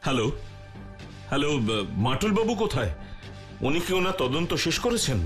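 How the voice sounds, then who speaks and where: A woeful, panicky bank manager in a children's cartoon. His voice is a little high and swings up and down as he frets and wails, with faint music behind. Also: sing-song, brisk. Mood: despairing. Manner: fretful, wailing.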